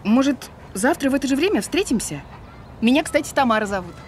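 An actress in an audio drama playing a friendly dog owner, speaking politely and casually. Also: female voice, high voice, very animated, a little fast, a little hiss or hum. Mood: friendly, tentative. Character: friendly woman dog owner arranging a walk.

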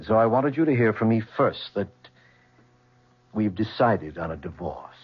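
A middle-aged, domineering father from a vintage radio play. His voice is husky with an animated range, and the recording is a bit dull. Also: lowish voice, moderate pace. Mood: cool, firm. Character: domineering, coolly self-assured wealthy father. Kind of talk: 1970s radio drama acting.

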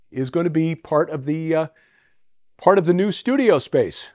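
A middle-aged man hosting a ministry program, giving a relaxed spoken tour with frequent fillers, recorded on a lapel mic in a large pole barn. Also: on the high side, no room sound, clean studio sound. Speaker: ministry host. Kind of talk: casual walkthrough talk to camera. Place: inside a large metal pole barn and outdoors beside it.

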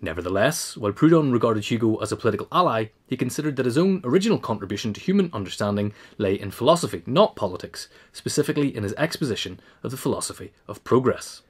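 A man's voice: mid-range voice, sing-song, fast, few pauses, husky. A man reading scripted narration, as in an audio essay. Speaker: reader.

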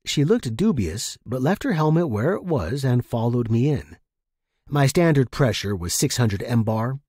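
Male story narrator, husky tone, very varied intonation, first-person fiction. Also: medium pitch, very animated, unhurried, a little mellow, no room sound, studio quality.

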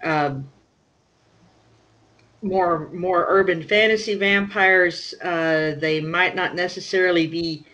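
A woman's voice: fairly low pitch, fairly even intonation, takes it slowly, fairly bright tone. A woman on a panel speaking from home through a headset, hesitant and full of fillers. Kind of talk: casual panel discussion.

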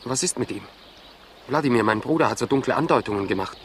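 A man's voice: medium pitch, animated, fast, slight background noise, lightly acted. An actor playing an ardent, naive, earnest young male student in love, in a 1960s radio crime play. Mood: earnest, troubled.

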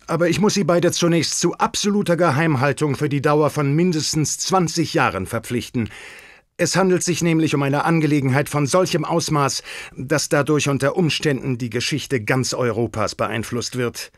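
A man's voice: on the high side, moderate pace, no room sound, clean studio sound, natural voice. An actor playing a proud, formal and secretive king with stately diction in a German radio play. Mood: grave, secretive.

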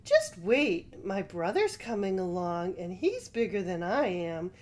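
A woman's voice: mid-range voice, sing-song, unhurried, darkish tone. A woman voicing a small, childlike male baby goat, pleading and clever, in a children's story.

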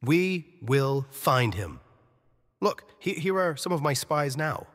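A man's voice: on the high side, animated, slow, fairly bright tone. An actor playing an anxious spymaster henchman in an audio drama, hesitant and placating. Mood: uneasy, placating. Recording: long reverberant hall.

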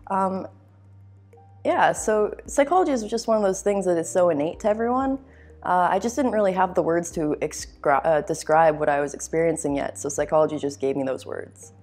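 A woman's voice: lowish voice, a little fast, darkish tone, soft background music. A young woman talking casually about herself in an interview. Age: young adult. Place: meeting room.

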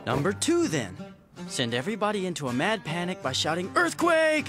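Clownish young gunman, extremely animated pitch, boastful then whiny, melodramatic cartoon dub, loud music. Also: brisk. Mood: scheming. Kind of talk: English anime dub acting.

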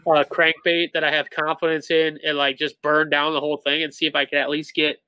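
A man in his thirties, a tournament bass angler, explaining things in a relaxed way full of "like" fillers over a video call. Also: a little fast, clear, resonant tone, no echo, poor audio. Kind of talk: casual interview talk. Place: indoor room with shelves of tackle. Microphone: webcam on a video call.

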